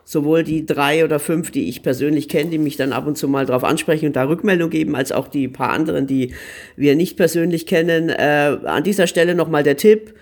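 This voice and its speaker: A man's voice: a little high, steady tone, a little fast. A man co-hosting a podcast, giving a casual review and often hesitating and repeating words as he searches for phrasing. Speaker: podcast co-host recommending series.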